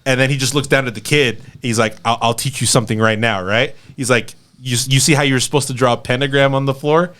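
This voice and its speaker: A man's voice: mid-range voice, steady tone, fast, few pauses, fairly bright tone. A man hosting a podcast in casual chat, retelling stories with lots of swearing and frequent "right?" check-ins. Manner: sweary, peppers talk with "right?". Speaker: podcast host.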